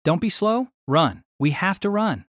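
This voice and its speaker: A man's voice: sits high, lively intonation, unhurried, dry recording, studio quality. Man acting a panicky, stammering young mage. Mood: panicked, scolding. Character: flustered, bluffing young male mage, easily frightened.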